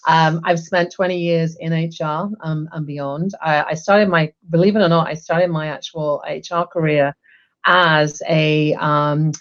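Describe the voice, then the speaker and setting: A woman's voice: low-pitched, steady tone, a little slow, highs cut off. A middle-aged woman from England, chatting upbeat as an interview guest over a video call, with many ums. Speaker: guest, HR executive. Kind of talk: casual interview talk. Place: home room, video call.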